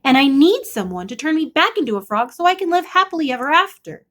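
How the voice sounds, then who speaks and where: Lady doing a storybook frog prince guy, pleady and exasperated, highish voice, super swingy. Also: sing-song, fast, fairly bright tone. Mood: annoyed. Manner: breathless pleading, exasperated emphasis. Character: earnest, whiny frog prince, young man, easily annoyed.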